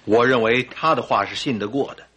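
An actor playing a thoughtful, courteous doctor, the detective's companion, in a radio drama. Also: male voice, medium pitch, a little fast, fairly bright tone, slightly rough. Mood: assured.

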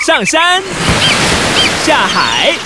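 Lively high-pitched woman ad announcer. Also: extremely slow. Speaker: advertisement announcer. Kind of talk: upbeat scripted radio advertisement. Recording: music behind.

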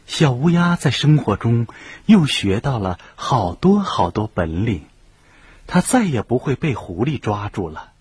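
A man narrating a children's radio play in an expressive storybook style. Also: medium pitch, unhurried, breathy edge, no room sound.

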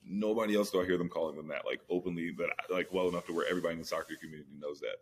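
A man's voice: deep voice, rapid-fire, a little hiss or hum. A man co-hosting a sports podcast, chatting casually and slangily about football, repeating phrases for emphasis.

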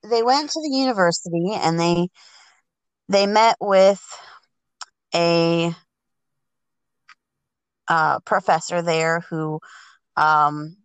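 A woman making up a story aloud, halting and feeling for words as she narrates and voices the dialogue. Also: on the low side, smooth, dry recording, poor audio. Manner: hesitant, halting, groping for words. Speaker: storyteller on a podcast. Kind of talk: improvised oral storytelling.

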